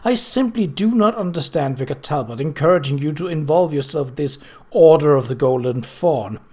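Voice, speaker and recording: Man as storybook widow, middle-aged, upper-class, stern, indignant, snappish, sanctimonious, raised pitch. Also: animated, normal speed, dark tone, husky.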